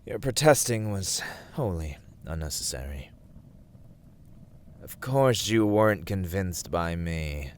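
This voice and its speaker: Man playing a slow, smug young villain with swooping intonation. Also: low-pitched, lively intonation, slight background noise. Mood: smug, chiding. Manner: condescending, deliberate, formal, teasing. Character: smug, teasing young male villain, dungeon-keeping warlord. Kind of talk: ASMR roleplay, scripted dramatic monologue.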